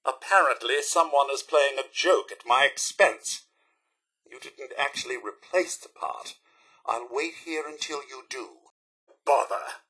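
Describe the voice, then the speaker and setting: A man playing a polite, fussy robot butler in a video game, with a British accent, a raspy voice and prim, theatrical, upbeat manners. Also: medium pitch, pushed and bright, heavily acted. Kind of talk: video game character acting.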